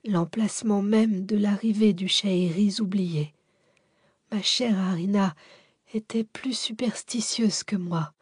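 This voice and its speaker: A man's voice: sits high, slow pace, no echo, studio quality, exaggerated voice. A woman voicing an old widowed benefactor, weakened and whispery, confiding secrets with pride and tenderness. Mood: tender, fading. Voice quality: whispery, breathy, faint.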